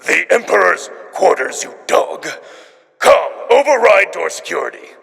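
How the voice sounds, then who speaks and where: A man's voice: high-pitched, very animated, a little slow, a bit bright, heavily acted. A man voicing an irritable, domineering wolf emperor with a strained, growling delivery, as if over an intercom in a visual novel drama. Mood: angry, urgent. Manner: strained, breathy, growly barking. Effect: intercom speaker. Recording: long reverb, music behind.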